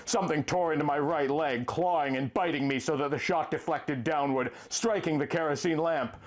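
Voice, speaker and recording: A man's voice: on the high side, moderate pace. A man reading a pulp adventure story in a theatrical, emphatic first-person style, drawing out the ends of phrases.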